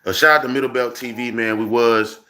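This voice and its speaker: A man's voice: mid-range voice, steady tone, brisk, pushed and bright. A man from Birmingham, Alabama, chatting loosely to viewers on a music livestream and leaning on "you know what I'm saying.". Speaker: independent music artist hosting a livestream. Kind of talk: casual livestream chat.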